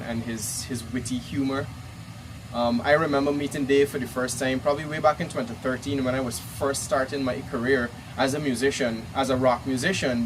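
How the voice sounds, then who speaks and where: A man with a Guyanese accent, a rock musician, fondly reminiscing in an interview with frequent pauses and restarts. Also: medium pitch, noisy background. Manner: warm reminiscing with fillers and restarts.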